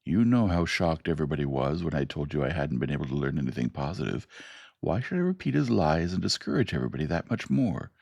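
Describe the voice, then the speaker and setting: A man's voice: extremely low pitch, sing-song, quick pace, gravelly, a little mellow, dry recording, exaggerated voice. A man voicing an earnest young man defending himself. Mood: defensive. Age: young adult.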